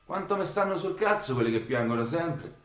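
An actor playing a feckless, crude young layabout from Rome in a radio drama, with a Roman accent and blunt slangy talk. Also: male voice, on the high side, animated, a little fast, fairly bright tone. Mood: exasperated. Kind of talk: radio drama dialogue acting. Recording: echoey room.

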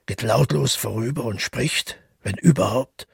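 An actor playing a brooding, haunted man who tells his past in a halting, intimate audio drama monologue with a husky, gravelly voice. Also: mid-range voice, dry recording, studio quality, exaggerated voice. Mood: uneasy recollection. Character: brooding, haunted male first-person narrator recalling the past.

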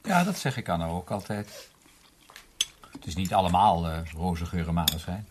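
An actor playing a conventional middle-aged husband in a radio play, genial, hesitant and placating. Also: male voice, sits low, animated, moderate pace, background noise.

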